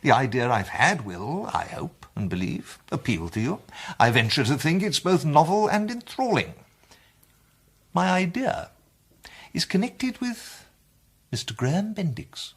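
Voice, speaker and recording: An actor playing a pompous, self-satisfied English president of a detective club in a radio play, speaking formally and oratorically in an upper-middle-class accent. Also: male voice, a little high, big pitch swings, measured pace, breathy edge, full character voice. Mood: relishing, conspiratorial. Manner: formal, oratorical, savouring his phrases. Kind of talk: 1920s-set British radio play acting.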